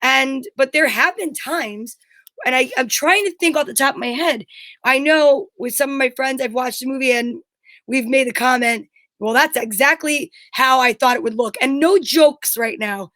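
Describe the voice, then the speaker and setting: Female co-host, high-pitched, informal livestream chat, dry sound without room echo. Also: normal speed, fairly bright tone. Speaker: show co-host.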